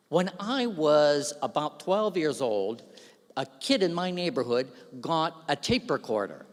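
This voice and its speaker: A man giving a humorous, self-deprecating speech at an awards ceremony, telling stories with lively asides into a stage microphone. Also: fairly high pitch. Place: large event hall. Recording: long reverb of a large hall.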